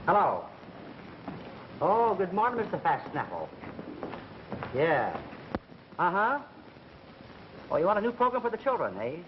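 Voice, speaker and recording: Fussy radio boss, stammery n scattered, voice jumps around, noisy old movie. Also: male voice, sits high, huge pitch swings, unhurried, pauses often, full character voice. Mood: brisk, enthusiastic. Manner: stammering, repeating himself. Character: flustered, distracted radio programme executive, brisk and fickle. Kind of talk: 1930s film comedy acting. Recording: muffled, noisy 1930s film soundtrack.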